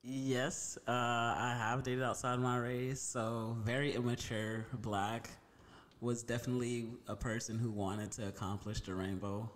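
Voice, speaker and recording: A man's voice: medium pitch, level tone, a little slow, a little hiss or hum. A man born in Haiti, talking candidly and laughing now and then in a casual podcast chat. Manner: candid, laughs through his answers.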